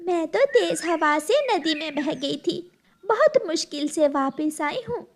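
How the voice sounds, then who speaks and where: A woman's voice: very high voice, expressive, slow pace, pitched above the performer's own voice, exaggerated voice. A woman voicing a caring, earnest mother bird in a children's cartoon, tender and plaintive. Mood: relieved. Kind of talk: Hindi children's cartoon story.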